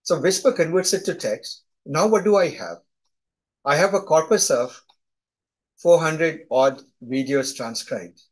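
Husky-voiced Indian man teaching online. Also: a little high, expressive, a little slow, rough recording. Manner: conversational, often tags "right?". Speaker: instructor. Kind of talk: live online lecture. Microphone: video call.

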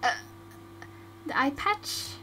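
A woman voicing a calm, blunt female witch healer in an amateur audio drama. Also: slow, a little hiss or hum. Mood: weary.

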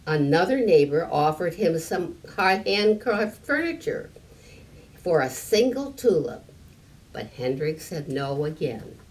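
An elderly woman reads a picture book aloud to children in a deliberate, slightly halting manner, adding small explanations. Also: low-pitched, big pitch swings, a little mellow. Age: 70s or older. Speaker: story time reader. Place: library room with stone fireplace, indoors. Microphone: camera a few meters away. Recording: distant mic, room noise.

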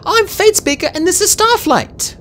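A narrator voicing an anxious young female dragon in an audiobook, squeaky and urgent. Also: animated, moderate pace, a bit bright, a little hiss or hum. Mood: nervous. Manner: breathless, urgent.

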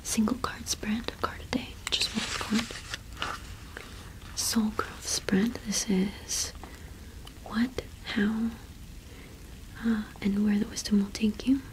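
A young woman playing a kind, welcoming cottage witch in a whispered ASMR roleplay, speaking softly and reassuringly without hurry. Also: mid-range voice, fairly even intonation, natural voice, rough recording. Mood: gentle, explanatory. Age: young adult. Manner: soft, unhurried, gently reassuring. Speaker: ASMR performer.

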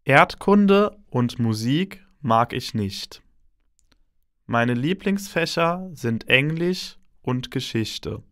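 Slow, carefully spoken man. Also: medium pitch, fairly bright tone, dry recording, clean studio sound. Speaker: exam recording speaker. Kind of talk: slow scripted exam reading.

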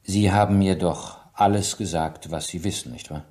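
An actor playing a calm, probing police inspector in a German radio play, questioning politely and deliberately. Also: male voice, sits low. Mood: politely firm.

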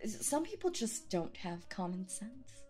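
Woman acting lively, possessive, flustered yandere girlfriend. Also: medium pitch, unhurried, soft background music. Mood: indignant, apologetic. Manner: flustered, stammering, nervous giggles, swinging to jealous. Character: sweet, possessive, obsessive young woman on a date. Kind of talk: roleplay audio acting, intimate one-sided dialogue.

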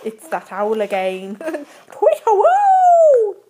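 A woman as Mister Teeth, high-pitched silly dog puppet. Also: wildly swooping pitch, background noise, exaggerated voice. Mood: silly, giggly. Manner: playful, exaggerated, slow dramatic reading to a child. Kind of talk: puppet reading a children's story aloud. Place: small room at home.

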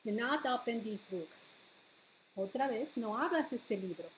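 A middle-aged woman reading a picture book aloud to preschoolers in an expressive, deliberate, bilingual storytime manner, recorded at home. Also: a little high, a little hiss or hum, poor audio. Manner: expressive, deliberate, switching between English and Spanish. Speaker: children's librarian, storytime host. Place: home living room.

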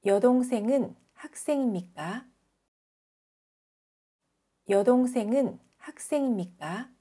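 Slow, higher-voiced woman teaching Korean. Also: expressive, dark tone, husky. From South Korea. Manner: careful, deliberate enunciation. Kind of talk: scripted reading of example sentences.

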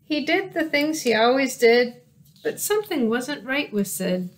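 A woman reads a picture book aloud for children. Her voice is high, and she takes her time, telling the story carefully and expressively. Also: very animated, a little slow. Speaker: storybook reader. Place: small room indoors by windows.

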